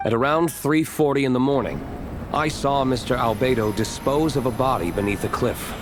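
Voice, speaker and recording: A man playing a reserved, dry, aloof gentleman witness in a fantasy game. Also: mid-range voice, moderate pace, music in the background, lightly acted. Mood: matter-of-fact. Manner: cool, dry, matter-of-fact. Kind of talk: scripted fantasy game cutscene acting.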